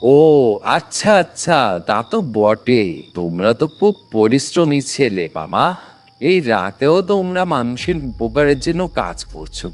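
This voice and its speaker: A man playing a pious, shrewd, kindly old man in a dramatic cartoon story, speaking in a deliberate, theatrical way. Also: mid-range voice, sing-song. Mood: mild, placating. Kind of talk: dramatic animated moral story.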